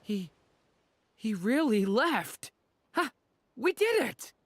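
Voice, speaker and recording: An actor voicing an earnest, determined young adventurer in a video game, with an expressive delivery. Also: mid-range voice, slow, no room sound, heavily acted. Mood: elated. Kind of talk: dramatic video game voice acting. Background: faint game music.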